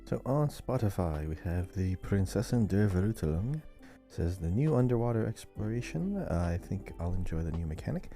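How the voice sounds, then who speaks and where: A man's voice: sits low, sing-song, normal speed, dark tone, faint music behind. A man co-hosting a casual gaming podcast, easygoing and conversational while reading out listener comments. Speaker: podcast co-host.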